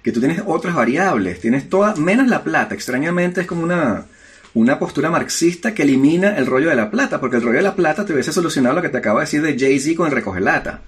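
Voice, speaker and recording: A man's voice: on the high side, animated, fast talker. A man with a Venezuelan accent, co-hosting a podcast in a casual discussion, arguing emphatically with lots of filler words. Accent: Venezuelan Spanish. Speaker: podcast co-host.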